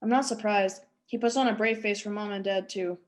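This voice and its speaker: A woman's voice: medium pitch, steady tone, brisk, lightly acted. A woman playing a bold, teasing teenage boy in a table read of a script. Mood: frank.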